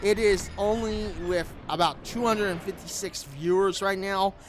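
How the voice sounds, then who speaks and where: A man with a high-pitched voice talks casually as a gaming video creator. He rambles and circles back over the same phrases. Clear background music plays under his commentary, recorded in a room at home. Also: steady tone, normal speed.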